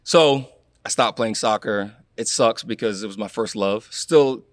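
A young man from Florida, a guest in a casual interview, telling animated stories and acting out what people said. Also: fairly low pitch, a little slow, no echo, studio quality. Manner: animated storyteller, acts out quotes, laughs. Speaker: guest, former college athlete.

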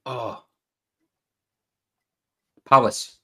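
A man's voice: extremely slow, sharp tone. A man co-hosting a casual podcast, asking quiz questions with dry jokes. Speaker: podcast co-host.

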